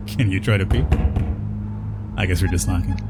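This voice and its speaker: A man's voice: low voice, measured pace, over music. A man doing a let's play, reading game dialogue aloud and commenting in a casual, hesitant, conversational way.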